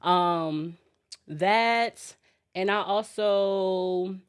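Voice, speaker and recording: A woman co-hosting a podcast, affirming her partner and speaking hesitantly with many pauses. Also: medium pitch, smooth, dry recording, studio quality. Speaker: podcast co-host. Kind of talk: casual chat.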